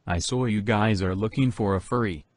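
A man's voice: on the low side, normal speed. A man reading short viewer messages aloud.

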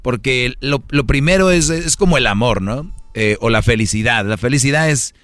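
A man's voice: mid-range voice, lively intonation, moderate pace, fairly bright tone, no echo. A man hosting a radio show with casual, opinionated, slangy comedic talk.

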